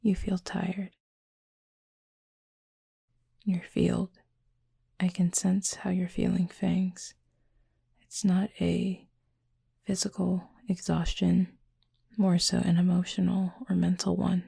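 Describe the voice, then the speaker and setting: A woman voicing a gentle, formal cupid roommate in an intimate roleplay audio, soft, breathy and husky, speaking in a measured, earnest way. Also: fairly low pitch, monotone, dry recording, clean studio sound, exaggerated voice. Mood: gently concerned.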